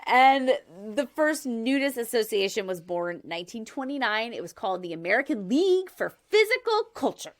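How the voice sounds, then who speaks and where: A woman's voice: a little high, sing-song, brisk, few pauses. A woman in her thirties hosting a casual chat, laughing often and explaining her research step by step. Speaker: podcast host presenting research.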